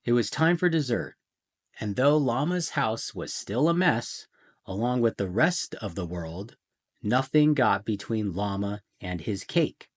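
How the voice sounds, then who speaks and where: A man reading a children's picture book aloud in a theatrical way, with deliberate pauses and emphasis. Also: lowish voice, lively intonation. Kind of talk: dramatic children's storybook narration.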